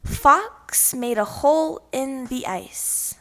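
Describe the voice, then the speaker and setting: Female storyteller for children's read-aloud: high-pitched, very slow, deliberate phrasing. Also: animated, sharp tone.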